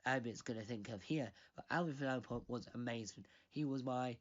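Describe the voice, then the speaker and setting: A man's voice: medium pitch, fairly quick. A young man giving a rambling, chatty introduction to a comedy radio show while losing his voice. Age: young adult. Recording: dull lo-fi recording.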